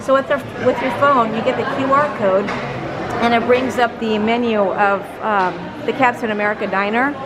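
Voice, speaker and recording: A woman travel vlogger, a bit hoarse from losing her voice, chatting step by step through a theme park visit. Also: fairly high pitch, fairly even intonation, normal speed. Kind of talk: casual vlog narration. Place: busy theme park, indoors and out. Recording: crowded park noise with music. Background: crowd chatter and music.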